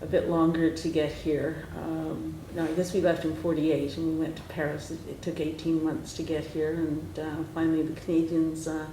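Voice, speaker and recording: A woman in her sixties from Hungary rambles gently and corrects herself mid-sentence, in a low, flat, mellow voice. The meeting room is echoey, with a faint hiss. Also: normal speed, hardly any pauses. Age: 60s. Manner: meandering, self-correcting. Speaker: lawyer. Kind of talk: roundtable introduction.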